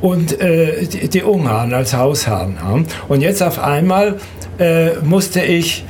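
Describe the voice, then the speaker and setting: An elderly man who grew up in Serbia and Hungary, recounting his life in an interview in a halting, hesitant way with repeated words. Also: fairly high pitch, animated, darkish tone, slight background noise. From Danube Swabian from Serbia and Hungary. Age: 70s or older. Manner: halting, hesitant, repeats words, often ends with a questioning tag.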